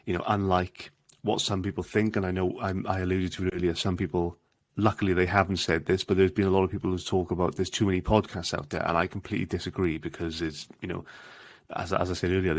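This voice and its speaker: A man's voice: deep voice, no echo. A man with a Swansea Welsh accent chatting as a podcast guest, with lots of restarts and quoted voices. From Wales. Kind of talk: casual interview chat.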